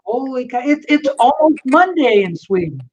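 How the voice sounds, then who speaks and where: A woman's voice: high voice, sing-song, moderate pace, breathy edge, highs cut off. A woman hosting a casual video chat, upbeat and joking with her guests. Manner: jokey, upbeat. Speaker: chat host.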